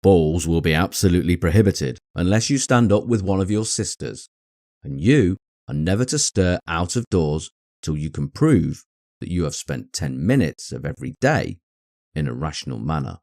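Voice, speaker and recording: A man voicing a dry, sardonic middle-aged English gentleman and father in an audiobook, with a wry, deadpan manner. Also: low voice, normal speed, no room sound. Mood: mock-stern. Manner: deadpan, wry, mock-stern. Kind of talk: acted dialogue in audiobook reading.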